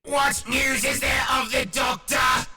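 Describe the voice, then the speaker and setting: A man voicing a ruthless alien machine warlord, declaiming slowly and harshly, syllable by syllable, through a grating metallic robot filter. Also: very high voice, little pitch movement, roomy sound, over loud music, voice pushed up. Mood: commanding. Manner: slow, shouted, syllable-by-syllable declaiming.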